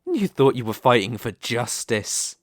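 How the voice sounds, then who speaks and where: A male actor voices a seductive male demon king in an intimate ASMR roleplay. He takes his time over each phrase, patient and possessive, with smug condescension. His mid-pitched voice sits close to the microphone in a very dry space. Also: very animated, no echo. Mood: mocking pity. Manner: slow, deliberate, teasing, condescending. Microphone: close studio microphone, dry.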